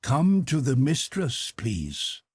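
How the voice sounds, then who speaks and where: A man reading aloud voices a gigantic footman with a deep bass, formal and unyielding. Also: huge pitch swings, slow, a little mellow, slightly rough, dry recording, studio quality, exaggerated voice. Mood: stern. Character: gigantic male footman, stern and imposing.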